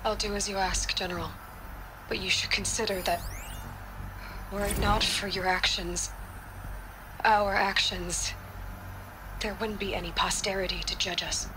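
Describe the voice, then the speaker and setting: A woman's voice: medium pitch, steady tone, measured pace, long pauses, background noise, close to an everyday voice. Actress as a blunt, composed American woman scientist. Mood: composed, somber. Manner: direct, composed. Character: brisk, blunt, composed female lead scientist. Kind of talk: cinematic video game acting. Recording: music under the voice. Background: background music.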